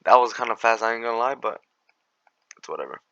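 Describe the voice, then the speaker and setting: A young man with a raspy voice, walking through calculus problems in a slangy, laid-back way and sometimes mumbling steps under his breath. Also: medium pitch, animated, fast talker, a bit bright, dry recording, lo-fi sound. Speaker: math tutor. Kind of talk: casual tutoring walkthrough.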